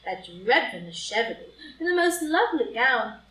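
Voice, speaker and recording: Woman playing a high-voiced, flippant young society lady. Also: big pitch swings, normal speed, a bit bright. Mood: breezy, teasing. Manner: arch, breezy, teasing drawl. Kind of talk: group play reading for audio drama. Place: live in-person group reading in a room. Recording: roomy, distant live reading, noisy.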